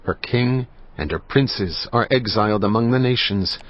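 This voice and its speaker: Solemn low-voiced man narrating scripture. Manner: solemn, measured, grave. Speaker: Bible narrator. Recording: slightly muffled, faint music behind.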